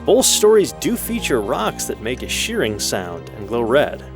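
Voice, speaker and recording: A man's voice: mid-range voice, wildly swooping pitch, unhurried, music in the background. A man playing a businesslike radio producer in an audio drama, reporting in a formal, explanatory manner. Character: resourceful male radio producer, crisp and businesslike.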